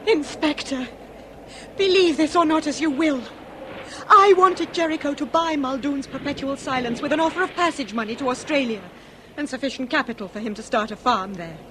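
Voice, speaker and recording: Radio drama: woman as high-pitched upper-class English lady, wronged and anguished, formal, theatrical, slight background noise. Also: moderate pace, close to an everyday voice. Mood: confessional. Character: anguished aristocratic lady confessing, dignified, wronged wife.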